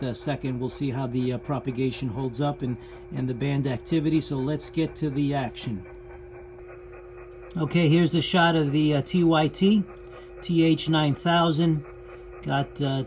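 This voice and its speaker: A man hosting a hobby radio channel, talking casually and haltingly as he explains things in an informal vlog style. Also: on the high side. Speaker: host of a ham radio channel. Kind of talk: casual vlog talk about radio operating. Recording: clear background noise.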